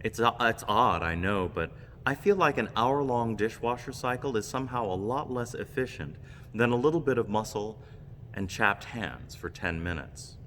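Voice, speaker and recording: Deep-voiced man preaching in long reverb. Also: expressive, normal speed, a bit bright. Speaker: preacher giving a homily. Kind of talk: reflective sermon with personal stories. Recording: long reverb, background noise.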